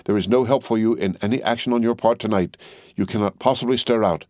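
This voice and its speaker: A man voicing an anxious, devoted elderly gentleman in a storybook reading, earnest and imploring. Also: lowish voice, quick pace, no room sound, clean studio sound, lightly acted. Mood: urgent, soothing.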